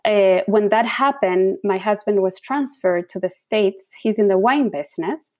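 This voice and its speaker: A woman's voice: mid-range voice, measured pace, darkish tone. Woman guest with a Chilean accent, Spanish native, mid-range voice, earnest storyteller. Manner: earnest, searching for words. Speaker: guest, design founder.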